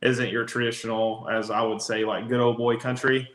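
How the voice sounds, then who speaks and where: A man with a raspy voice, a country singer, talking casually as an interview guest with many fillers. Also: mid-range voice, little pitch movement, moderate pace, fairly bright tone. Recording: echoey room.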